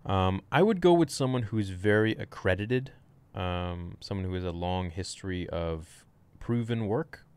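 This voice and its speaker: Young male fitness streamer, low voice, frank off-the-cuff Q&A, close desk mic in a small room. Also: expressive, unhurried, few pauses, a little mellow, clean tone. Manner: rambling, frank, swears casually. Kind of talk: casual livestream Q&A.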